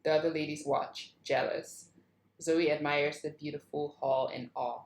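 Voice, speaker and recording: A woman's voice: low-pitched, steady tone, a little slow, a little mellow. A woman narrator reading screenplay stage directions aloud. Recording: roomy, echoing sound.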